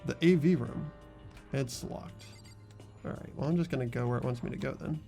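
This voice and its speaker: A man's voice: mid-range voice, normal speed, vocal fry, warm tone. A man hosting a voiced game playthrough, chatting casually and reading narration over game music.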